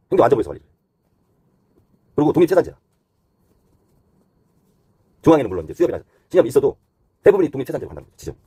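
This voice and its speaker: A man's voice: fairly high pitch, warm tone, slightly rough, no echo. A man giving unscripted explanatory commentary as a host, talking conversationally point by point and sliding between polite and blunt, casual phrasing.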